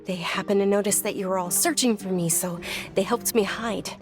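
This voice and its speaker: A woman playing a polite, gentle but stubborn young noblewoman in an animated game, speaking in an earnest, hesitant way. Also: mid-range voice, fairly quick, close to an everyday voice. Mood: relieved, anxious. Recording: music playing behind the voice.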